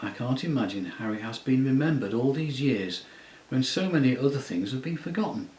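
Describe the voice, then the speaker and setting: A man voicing a young High King who speaks calmly and courteously, with quiet command. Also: fairly quick, lightly acted. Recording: roomy echo.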